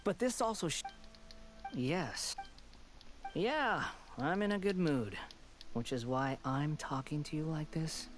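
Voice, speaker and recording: A man's voice: on the high side, expressive, slow pace, long pauses, slight background noise. An actor playing an arrogant young man who believes he is a god, haughty and taunting in a theatrical video game style. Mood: grandiose, contemptuous. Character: arrogant young male would-be god, mocking and grandiose. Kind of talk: video game dialogue acting.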